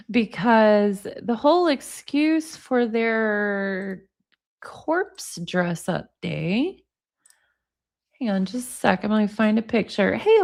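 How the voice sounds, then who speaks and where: A woman in her forties hosting a livestream. She reads text messages aloud and chats with viewers in the comments. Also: mid-range voice. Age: 40s. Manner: chatty, talks to viewers in the comments. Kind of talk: casual livestream chat, reading texts aloud.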